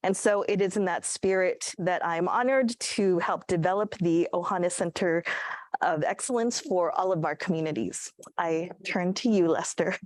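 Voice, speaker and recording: A woman who grew up on Guam gives measured, heartfelt prepared remarks as a panelist at a virtual event. Her voice sits low and the sound is dry and close. She pauses now and then to clear her throat. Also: dry recording. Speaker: assistant professor, panelist. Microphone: video call.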